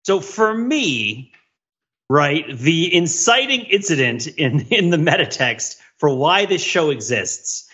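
A man's voice: a little high, very animated, takes it slowly, pushed and bright, breathy edge, dull audio. A man giving casual analytical commentary on television as a podcast panelist, emphatic and digressive, acting out his examples.

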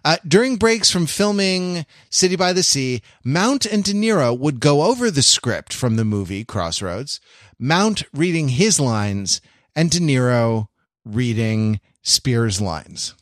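A man's voice: mid-range voice, big pitch swings, slow, no echo, studio quality. A man hosting a podcast in a casual, humorous chat, rambling wryly through mock-earnest digressions. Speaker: podcast host.

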